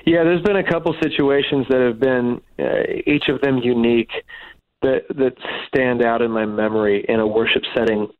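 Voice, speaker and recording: A man's voice: medium pitch, steady tone, normal speed, no room sound. Man with slight head cold, dull lo-fi sound. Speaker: guest, touring worship musician. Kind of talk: casual reflective interview talk.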